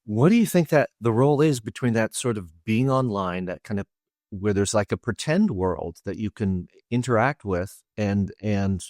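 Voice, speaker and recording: A man co-hosting a podcast, phrasing a long question in a halting, searching way. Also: on the low side, no room sound, clean studio sound. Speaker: podcast co-host. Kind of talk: interview questions.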